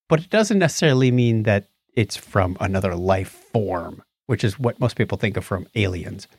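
A man's voice: mid-range voice, no room sound, studio quality. A man with a wry, joking manner, co-hosting a podcast and reading news articles aloud in casual chat. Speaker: podcast co-host reading odd news stories.

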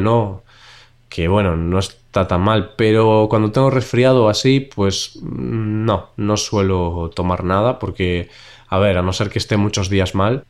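Male host, congested and nasal, relaxed and chatty. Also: fairly low pitch. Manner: relaxed, chatty, laughs often. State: stuffy nose from a cold. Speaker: podcast host. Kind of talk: casual podcast chat for Spanish learners. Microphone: recorded over a video call.

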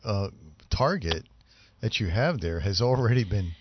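A man's voice: on the low side, animated, unhurried, slight background noise. A man co-hosting a talk radio show, laughing often and playing along with the host. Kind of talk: casual talk radio banter. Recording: dull lo-fi sound.

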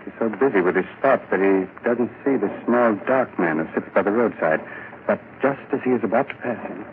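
A man's voice: lowish voice, brisk. A male host narrating and introducing a 1940s radio horror drama in a sinister, theatrical manner. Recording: tinny 1940s radio broadcast, hiss.

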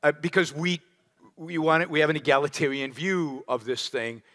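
A man lecturing in a large hall, his voice bright and forceful with a long reverb trailing behind it. He speaks a little high, animated and emphatic, and leans on rhetorical questions. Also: normal speed. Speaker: lecturer answering audience questions. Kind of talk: public lecture Q&A.

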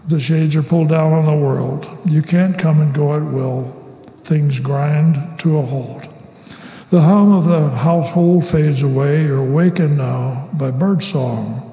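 A man reads scripture slowly and deliberately, his voice gravelly and dark, set a little high for a man. The sound rings through a large hall with long, roomy reverb. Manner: slow, measured, gravelly delivery. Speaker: scripture reader. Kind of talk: slow scripture reading. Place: church sanctuary.

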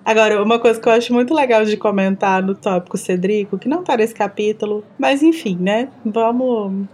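A woman's voice: mid-range voice, darkish tone. Woman, Minas Gerais accent, podcast co-host, playful, talkative, filler-heavy. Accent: Minas Gerais Portuguese. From Minas Gerais, Brazil. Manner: playful, joking, chatty with many fillers. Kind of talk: casual chat.

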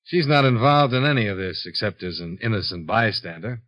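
An actor playing a shrewd, unhurried insurance investigator in a 1950s radio drama, with a dry, matter-of-fact delivery. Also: male voice, on the low side, animated, a bit bright. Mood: coolly accusing. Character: cool, shrewd male insurance investigator, hard-boiled and unhurried. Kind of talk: 1950s radio detective acting. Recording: dull 1950s radio broadcast recording.

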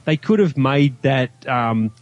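A man's voice: mid-range voice, expressive, slow, dry recording, muffled recording. A man as a podcast guest in casual film discussion, rambling and stammering as he searches for words. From Australia.

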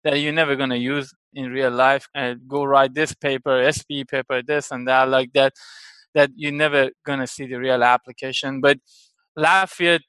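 A man's voice: mid-range voice, steady tone, normal speed, a bit bright, smooth, no echo, poor audio. A man in his thirties from Iran, a guest chatting casually in an interview, laughing often and checking in with "correct?". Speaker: guest, company founder.

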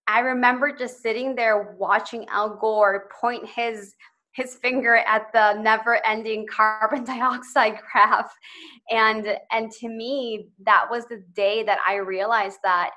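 Bright-voiced young woman telling her story. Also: fairly high pitch, fairly even intonation, slow. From Ecuador. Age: 20s. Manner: earnest and eager, laughs lightly between phrases. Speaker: podcast guest, social entrepreneur. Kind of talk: personal storytelling in interview.

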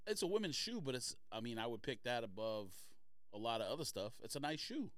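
A man's voice: mid-range voice, very animated, brisk, dry recording, studio quality. A man co-hosting a podcast in casual debate, insistent and argumentative. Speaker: podcast co-host.

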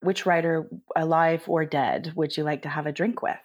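Woman hosting a podcast, lower voice, calm questions, lots of mm. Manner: brief affirming interjections, measured questions. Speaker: podcast host. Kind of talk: interview conversation.

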